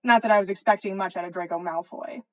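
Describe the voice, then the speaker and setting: A woman's voice: medium pitch, fast talker, lo-fi sound. A woman narrating fan fiction as a podcast host, reading the story expressively.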